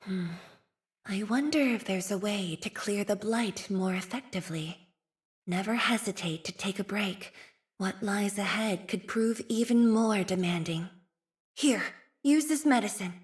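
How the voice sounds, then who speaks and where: Game role: composed young female scientist-leader, played by an actress. Soft, slow, measured delivery, mid-range pitch, gently wistful and earnest. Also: natural voice. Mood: calm, attentive. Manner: measured, formal, thoughtful phrasing. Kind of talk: video game character voice lines.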